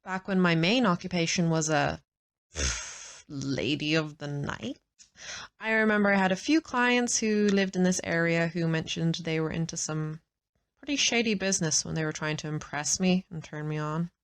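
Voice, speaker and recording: A woman's voice: on the low side, moderate pace, natural voice. A woman playing a confident, worldly former call girl in an audio roleplay, nonchalant and wry. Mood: breezy, wry.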